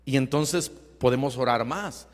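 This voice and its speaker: A male pastor preaching earnestly, with emphatic rising bursts and quiet asides. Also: medium pitch, measured pace. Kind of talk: sermon. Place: church sanctuary. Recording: long hall reverb.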